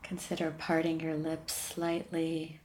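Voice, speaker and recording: A woman with a low-pitched voice guides a meditation. Her delivery is very slow and even, with soothing, gentle instructions and long pauses between phrases. She sounds calm and unhurried throughout. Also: slight background noise. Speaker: meditation podcast host and guide.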